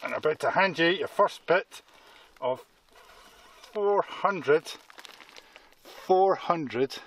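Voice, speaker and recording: A man's voice: fairly high pitch, expressive, slow pace, fairly bright tone. A man in his fifties giving an informal, explanatory talk as a geology guide in the field, repeating phrases for emphasis. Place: outdoors at a quarry rock face. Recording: clearly noisy outdoor recording with clicks.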